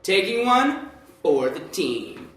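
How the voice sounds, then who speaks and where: A man's voice: high voice, sing-song, slow, fairly bright tone, full character voice. A man acting a teasing office coworker in a comedy sketch, needling his friends with mock solemnity. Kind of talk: live comedy sketch acting in old-time radio variety style. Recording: very echoey room, distant mic, light noise.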